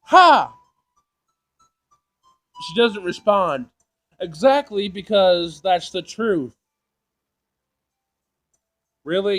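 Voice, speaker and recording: High-pitched, sassy male gamer. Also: a bit bright. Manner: informal, sassy, reactive. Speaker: gaming video player. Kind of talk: casual let's play, reading game text aloud with reactions.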